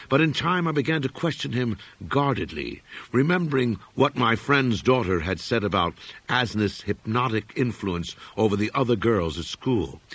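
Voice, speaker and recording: A man narrating a literary horror story in a measured, formal storytelling manner. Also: lowish voice, breathy edge, no echo. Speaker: audiobook narrator.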